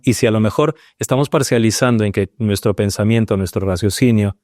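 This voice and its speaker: Man from Peru, studio panel guest, mellow dark tone, dry close sound, thinks aloud and hesitates a lot. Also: fairly low pitch, dry recording. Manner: searching, hesitant, full of restarts and pauses. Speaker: panel guest, paranormal researcher. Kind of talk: reflective round-table discussion.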